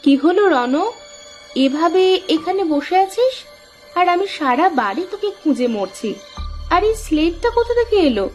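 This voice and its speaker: A woman's voice: very high-pitched, big pitch swings, moderate pace, darkish tone, soft background music. A woman playing a caring but strict young mother in a children's cartoon, fussing and admonishing. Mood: scolding.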